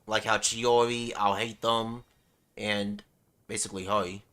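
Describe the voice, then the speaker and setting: A man's voice: on the low side, little pitch movement, a little slow, fairly bright tone, clear, resonant tone. A man hosting a casual gaming livestream, talking in a laid-back, slangy way. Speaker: livestream host.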